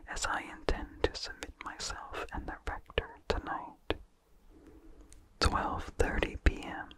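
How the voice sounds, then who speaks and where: A narrator reading a vintage horror story entirely in a breathy, hushed ASMR whisper, with deliberate phrasing. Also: poor audio.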